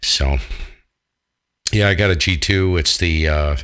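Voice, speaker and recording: An American man with a very deep voice and a bright, forceful edge. He talks like a ham radio operator in casual conversation, blunt, jokey and full of colloquial turns. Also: slow, clear, resonant tone. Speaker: amateur radio operator, channel host.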